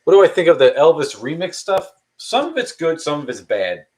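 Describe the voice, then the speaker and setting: A man's voice: fairly high pitch, big pitch swings, brisk, darkish tone. A man in his early thirties chatting casually on a live stream, answering viewer questions in a rambling, hesitant way with many restarts. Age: 30s. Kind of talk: casual chat.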